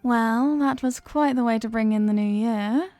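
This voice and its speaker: Woman acting a playful flirty female stranger, intimate ASMR roleplay, lightly high voice, teasing murmur, dry close sound, faint music. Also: a little mellow, no room sound, close to an everyday voice. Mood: tender, eager. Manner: coy, teasing, unhurried murmuring delivery.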